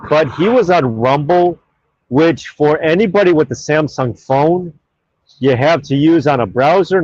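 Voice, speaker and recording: A man hosts his own live stream and chats casually, reading viewer comments aloud and wandering between topics with many fillers. His voice sits at a middling pitch. The audio is dull and lo-fi, with no room echo. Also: a little mellow. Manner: rambling and offhand, with many fillers.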